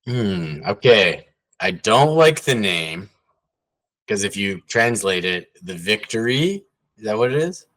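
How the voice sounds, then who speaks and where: Low-voiced rambling podcast co-host man. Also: slow. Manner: rambling, lots of "like" fillers, animated when amused. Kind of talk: casual sports chat.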